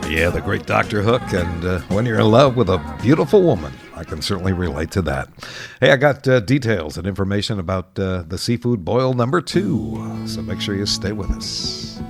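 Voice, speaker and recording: A male American radio DJ with a fairly low voice presents an oldies show in a casual, folksy style. He speaks haltingly and conversationally, close on a studio mic, with music clearly running underneath. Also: big pitch swings.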